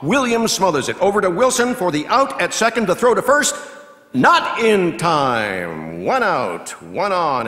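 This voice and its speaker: A man performing a scripted baseball play-by-play as an announcer, in measured, clipped phrases that can swell into excited calls. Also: sits low, creaky, exaggerated voice. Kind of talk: scripted radio-style baseball commentary, read as a performance. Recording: long reverb of a large hall.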